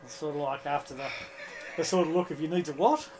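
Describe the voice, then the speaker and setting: A man's voice: on the high side, fast talker, a little mellow. A man telling casual, chatty stories as a hobbyist guest, voicing bits of remembered conversation. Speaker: guest amateur radio hobbyist. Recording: clear background noise, live room ambience.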